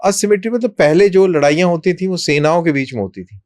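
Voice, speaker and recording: A man speaking as an interview guest, explaining in measured, deliberate short phrases with pauses. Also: a little high, lively intonation, a little mellow, no echo. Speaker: army colonel, interview guest.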